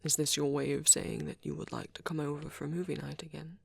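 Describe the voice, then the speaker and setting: A woman voicing a shy, awkward young vampire boyfriend in an ASMR roleplay, hesitant and rambling, with a soft, breathy delivery. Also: sits low, level tone, no room sound, full character voice. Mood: shyly pleased. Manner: hesitant, halting, rambling, nervous.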